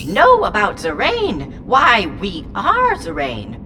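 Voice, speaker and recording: A woman's voice: on the high side, huge pitch swings, takes it slowly, heavily acted. A woman voicing an ancient, whimsical and haughty alien entity inside a small robot in an audio drama, speaking in theatrical, rambling, pompous riddles. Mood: lofty, mocking. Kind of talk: full-cast audio drama acting. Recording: clear noise bed behind the voice.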